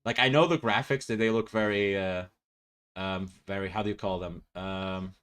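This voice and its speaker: Low-voiced young man rambling. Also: fairly even intonation, normal speed, a bit bright, smooth. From Portugal. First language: Portuguese. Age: 20s. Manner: rambling and self-correcting, with frequent fillers and laughs. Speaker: livestreamer. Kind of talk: casual live-stream chat reading viewer comments.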